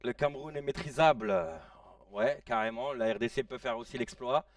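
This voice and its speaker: A man in his late thirties hosting a casual live stream, reacting excitedly to a football match in slangy chat and shouting at the players. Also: medium pitch, normal speed, no room sound, clean studio sound, recorded from a distance. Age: 30s. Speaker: live-stream watch-along host.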